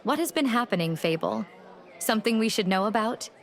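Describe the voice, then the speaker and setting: A woman's voice: on the high side, lively intonation, fairly quick. Woman playing a portentous elf rune-reader. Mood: ominous, probing. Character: female elf rune-reader, cryptic, probing fortune teller. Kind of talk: fantasy audio drama acting.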